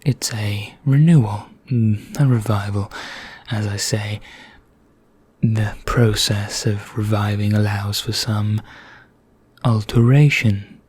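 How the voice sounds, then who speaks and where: A man playing a vain, condescending vampire in an ASMR roleplay, speaking formally with measured pauses in a husky, breathy voice that nears a whisper. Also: lowish voice, fairly even intonation, a little mellow, no echo. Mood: ominous, explanatory. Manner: slow, measured, formal, pompous, with deliberate pauses. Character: vain, condescending male vampire elder, calmly sinister.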